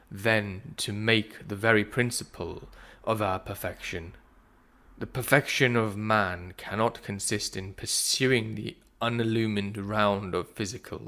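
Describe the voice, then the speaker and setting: A man reads philosophical prose as a solemn, measured narration, pausing deliberately between phrases. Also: fairly low pitch, bright, forceful tone. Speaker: narrator of a spiritual audiobook. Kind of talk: scripted reading of philosophical prose.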